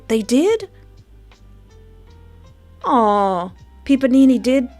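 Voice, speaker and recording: Chatty woman livestreamer over background music. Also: on the high side. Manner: effusive, informal, reacting aloud to chat messages. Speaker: livestream host and game developer. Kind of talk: casual livestream chat with viewers. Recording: soft music behind the voice.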